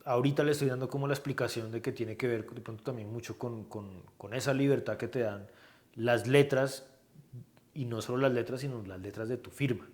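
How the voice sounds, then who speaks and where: A man, a guest artist talking thoughtfully in a casual interview, often pausing and restarting as he searches for words. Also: mid-range voice, darkish tone. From Colombia.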